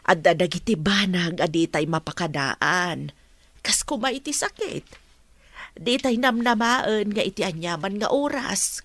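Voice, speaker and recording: A woman's voice: mid-range voice, unhurried, fairly bright tone, dry recording. A woman with an Ilocano Filipino accent playing a sympathetic neighbour in a radio drama. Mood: somber.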